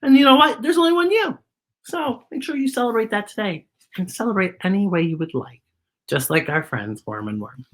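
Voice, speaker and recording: A woman's voice: mid-range voice, lively intonation, a little fast. A woman hosting a story time for young children, talking expressively and putting questions to her listeners. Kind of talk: animated picture-book storytelling for young children.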